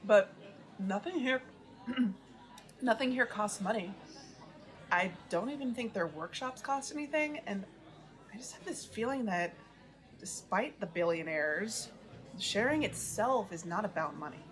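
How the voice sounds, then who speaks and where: A woman's voice: medium pitch, animated, measured pace, long pauses, lightly acted. Uneasy woman investigator played by an actress. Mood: hesitant, probing. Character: uneasy, watchful woman investigator, secretly recording. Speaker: narrator and lead character of an audio drama. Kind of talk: scripted first-person narration and dialogue.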